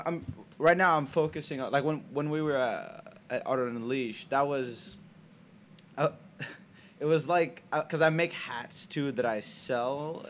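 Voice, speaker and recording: A man's voice: mid-range voice, a little hiss or hum. A young man from Senegal, an art student talking in a casual interview in a small radio booth, often restarting his sentences. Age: young adult. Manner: halting, many restarts and fillers.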